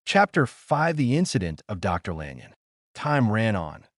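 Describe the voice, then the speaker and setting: A man's voice: lowish voice, big pitch swings, moderate pace, dry recording, studio quality. A man reading a scripted noir audiobook as a dramatic narrator.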